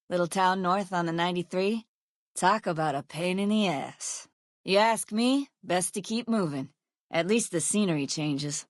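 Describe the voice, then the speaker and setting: A woman's voice: fairly low pitch, no room sound, studio quality, natural voice. A woman playing a foul-mouthed, sardonic ex-sheriff turned caravan guard in a video game, blunt and folksy in her speech. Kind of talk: video game character voice lines.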